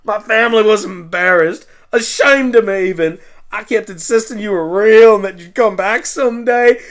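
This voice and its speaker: Guy doing a tough loud pony mare, big sister type, hurt and scolding, radio play. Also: high-pitched, normal speed. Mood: furious, anguished. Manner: forceful, scolding. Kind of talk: amateur radio play acting.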